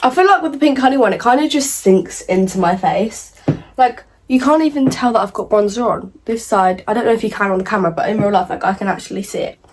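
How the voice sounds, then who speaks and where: Croaky young woman vlogging. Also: on the high side, fast talker, a little hiss or hum. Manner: chatty, rambling, many fillers like "like" and "um". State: croaky from a cold. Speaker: beauty vlogger. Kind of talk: casual makeup tutorial chat. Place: at home in front of a mirror.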